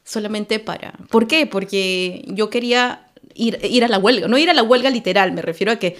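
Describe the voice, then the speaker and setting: Brief: young adult woman, podcast guest, casual and animated, acts out the quotes in her stories. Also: medium pitch, moderate pace. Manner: animated storyteller who acts out quoted colleagues, laughs often. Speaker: podcast guest, school worker. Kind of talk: casual conversational anecdotes.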